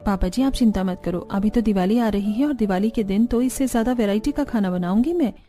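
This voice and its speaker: A woman's voice: medium pitch, a little fast, warm tone, soft background music, lightly acted. A woman voicing a sweet, dutiful and eager young village bride in a cartoon.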